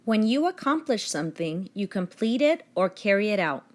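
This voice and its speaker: A woman's voice: medium pitch, big pitch swings, moderate pace. A woman narrating a read-aloud for young children, with vocabulary and questions. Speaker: story reader.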